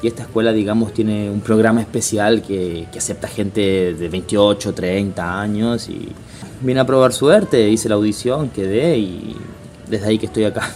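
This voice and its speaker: A man in his thirties from Chile, a circus artist, answering interview questions in a casual, halting way with many fillers and restarts. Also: on the low side, darkish tone, soft background music. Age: 30s.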